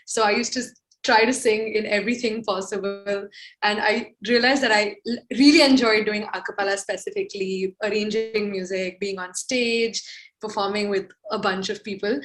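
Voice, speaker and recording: A woman's voice: on the high side, fairly bright tone. A young woman, a singer guest reflecting thoughtfully in a casual interview. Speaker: musician guest.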